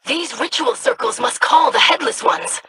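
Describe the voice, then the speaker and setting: A calm, scholarly investigator in a video game who weighs every theory aloud. Her speech is measured and formal, with a bright tone at a middle pitch. A faint hiss sits under the voice. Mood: grave. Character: calm, scholarly woman investigator of dark lore.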